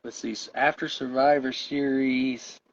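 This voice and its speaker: A man's voice: medium pitch, little pitch movement, unhurried, a crowd in the background. Tired guy rambling on a podcast, voice almost gone, muffled lo-fi audio. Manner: rambling, tired, laughs often. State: tired, voice wearing out. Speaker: podcast co-host. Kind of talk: casual chat about wrestling. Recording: dull lo-fi sound.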